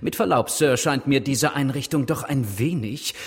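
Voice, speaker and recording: An actor playing a proud, eccentric English gentleman inventor in a German radio drama, polite but cutting and excitable. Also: medium pitch, normal speed, very noisy, lightly acted. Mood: defiant, cutting.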